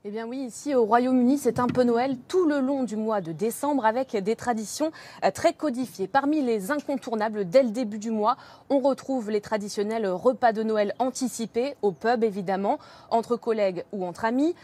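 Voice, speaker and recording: A woman correspondent with a fairly high voice. She gives a live, semi-spontaneous report, often pausing on filler sounds as she explains. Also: mellow. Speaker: foreign correspondent.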